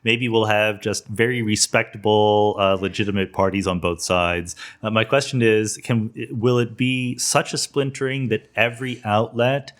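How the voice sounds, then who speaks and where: A man's voice: lowish voice, steady tone, moderate pace, few pauses. A man hosting a conversational podcast interview, putting questions and thoughts to a guest.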